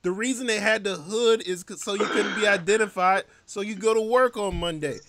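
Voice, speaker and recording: A man hosting a comedy podcast, riffing in an animated, loud, slangy way. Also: sits high, brisk, dry recording. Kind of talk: joking podcast banter.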